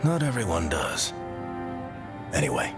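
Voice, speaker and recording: A fatherly older game mentor, very deep yet expressive in pitch. He is wry and knowing, with music clearly behind him. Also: a little slow, full character voice. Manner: knowing, reassuring, conversational. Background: game score.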